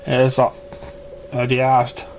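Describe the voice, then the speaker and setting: A man narrating a story in a halting way, stumbling over words now and then. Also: medium pitch, completely flat delivery, slight background noise, poor audio. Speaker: amateur fan fiction reader.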